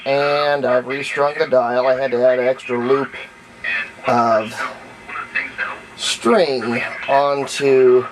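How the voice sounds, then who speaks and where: A man giving a casual hands-on tutorial in a folksy, unhurried way, thinking aloud as he works, with a gravelly voice. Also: mid-range voice, flat delivery, rough recording. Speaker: radio repair hobbyist demonstrating. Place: indoors at home, hands-on at workbench. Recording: faint background noise, home workshop.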